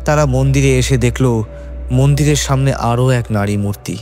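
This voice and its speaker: A man's voice: medium pitch, normal speed, a little mellow. A man reading a scripted horror story as its narrator. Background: background music.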